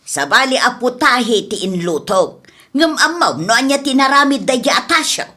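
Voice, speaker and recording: A woman's voice: high-pitched, very animated, moderate pace, pushed and bright, full character voice. An older woman playing a proud, grumbling elderly widow who runs an eatery in a radio comedy drama. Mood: indignant, complaining. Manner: indignant, complaining.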